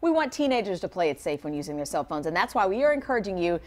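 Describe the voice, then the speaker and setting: A woman's voice: lowish voice, sing-song. A woman anchoring a morning news show in a TV studio, asking chatty questions and leading segments. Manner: quick, chatty host questions.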